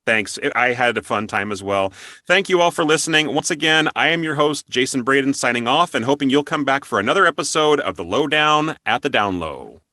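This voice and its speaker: A man's voice: mid-range voice, expressive, a little fast, sharp tone, no echo. A man hosting an interview show in a casual, conversational style.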